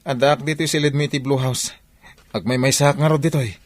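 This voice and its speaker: Guy acting a gloomy ilocano husband in radio drama, mid pitched voice, hesitant, broke and fed up. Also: steady tone, brisk, no room sound, natural voice. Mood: worried.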